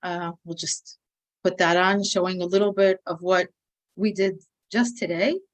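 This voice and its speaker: A woman with an American accent who works as a nonprofit liaison, opening her update to a live briefing in a warm, welcoming, measured way. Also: on the low side, steady tone. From United States.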